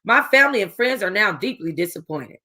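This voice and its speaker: A woman reading a first-person novel aloud for a book club, casual and expressive, with a streetwise tone. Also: medium pitch, brisk.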